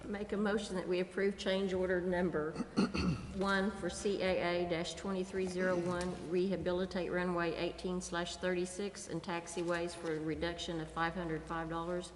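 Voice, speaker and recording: A woman's voice: fairly low pitch, flat delivery, moderate pace. A woman council member formally reading out motions in a meeting chamber. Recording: echoey chamber, faint noise.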